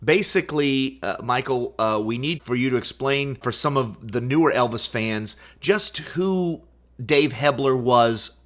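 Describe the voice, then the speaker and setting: Guy cohosting a radio show, normal mid pitch, casual, kinda halting when asking questions. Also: fairly bright tone. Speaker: radio show co-host, interviewer. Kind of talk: casual chatty interviewing.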